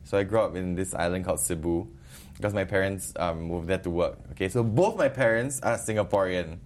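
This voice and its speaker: A man's voice: sits low, fairly quick, slight background noise. Playful young man with a Filipino accent. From Philippines. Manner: playful, animated, switches between Filipino and Singaporean English. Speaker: guest, YouTuber and radio DJ. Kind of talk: casual interview chat.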